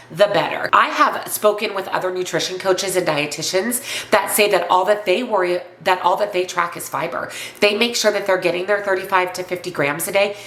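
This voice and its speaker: A woman with a congested, slightly raspy voice from a cold, speaking earnestly and emphatically as a coach addressing viewers in an instructional vlog. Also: fairly low pitch, fairly bright tone, echoey room. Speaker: weight loss and nutrition coach presenting to viewers. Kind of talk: upbeat instructional vlog to camera.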